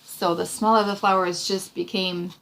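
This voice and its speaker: Woman, creaky voice, medium pitch, storybook read-aloud, encouraging tutor. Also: fairly even intonation, normal speed, fairly bright tone, poor audio. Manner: encouraging, explanatory. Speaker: reading tutor reading aloud to a child. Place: small room indoors.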